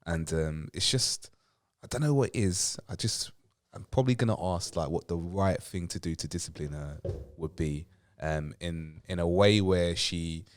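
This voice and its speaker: A man's voice: low-pitched, moderate pace. A young man chatting casually on a podcast, hesitant and full of fillers. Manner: hesitant, lots of fillers, breaks into laughter. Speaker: podcast co-host. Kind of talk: casual chat.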